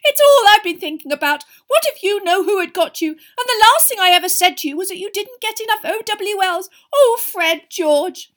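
Storybook mother, middle-aged, high-pitched, tearful, fretting, frantic. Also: lively intonation, normal speed, hardly any pauses, a bit bright. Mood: sobbing, distraught. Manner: wailing, breathless exclamations and fretting.

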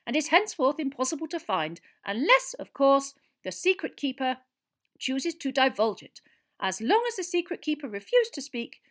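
Woman voicing a squeaky tiny wizard professor.

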